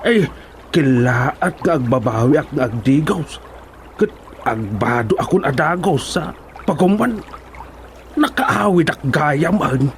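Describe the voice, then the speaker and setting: Fretful, drawling country cousin. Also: male voice, a little high, lively intonation, slow pace, slight background noise. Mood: reluctant. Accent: Ilocano. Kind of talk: radio comedy drama acting.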